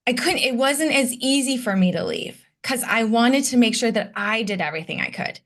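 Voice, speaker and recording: A woman's voice: a little high, expressive, moderate pace. A woman in her late thirties from Detroit, a podcast guest who candidly reflects on her past in a conversational way. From Detroit, United States. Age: 30s. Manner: candid and reflective, personal storytelling. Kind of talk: conversational interview.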